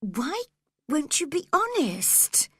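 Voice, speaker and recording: A spirited, impatient young girl with a high, lively voice, earnest and indignant, as a woman reads her in a storybook. It is close and dry. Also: slow pace, dry recording, heavily acted.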